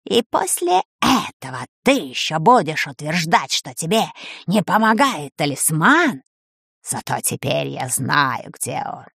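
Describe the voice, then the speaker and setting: A woman voicing a menacing old plague sorceress from a fantasy storybook, slow and commanding, with a creaky voice. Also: low-pitched, big pitch swings, a bit bright, no room sound, clean studio sound, voice pushed down, full character voice. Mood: menacing, gloating. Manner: slow, drawn-out, menacing delivery.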